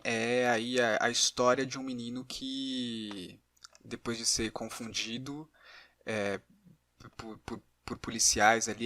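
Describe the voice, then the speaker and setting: A man with a Brazilian Portuguese accent hosting a casual podcast interview, thinking aloud with halting, repeated words. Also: medium pitch, pushed and bright, no room sound.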